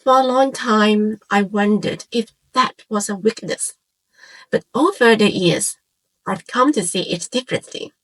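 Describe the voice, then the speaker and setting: A woman in her forties from Hong Kong, a native Cantonese speaker with a Hong Kong accent, narrates a scripted, reflective piece in measured phrases with short pauses. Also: mid-range voice, a bit bright, poor audio. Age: 40s. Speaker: YouTube channel creator and host.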